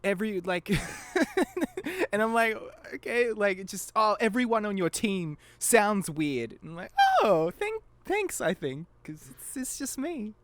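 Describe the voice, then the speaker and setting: Animated, giggly young man chatting. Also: high voice, slow pace. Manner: giggly, animated, self-deprecating. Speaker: podcast guest, amateur voice actor. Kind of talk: casual chat.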